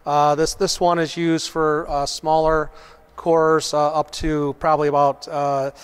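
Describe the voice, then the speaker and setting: A man's voice: on the high side, flat delivery, measured pace, smooth. A middle-aged man explaining processes on a factory tour, matter-of-fact, with frequent ums and uhs, recorded on a lapel mic in a large machine shop. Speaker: company manager giving a shop tour.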